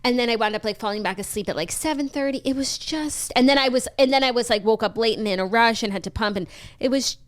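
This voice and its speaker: A woman's voice: a little high, fast. Woman with a cold, chatty co-host. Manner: chatty, grumpy and wry about a rough morning. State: coming down with a cold. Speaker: podcast co-host. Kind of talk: casual chat.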